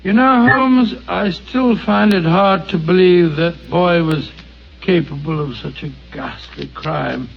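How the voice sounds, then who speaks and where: An earnest, gravelly companion. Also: male voice, high voice, slow, exaggerated voice. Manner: earnest, inquisitive. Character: loyal, earnest male doctor companion. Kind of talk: 1940s radio acting. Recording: dull old radio broadcast.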